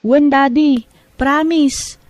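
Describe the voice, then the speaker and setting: A woman's voice: high-pitched, steady tone, extremely slow, no echo. A woman playing a sweet, eager, dutiful young daughter in an audio drama.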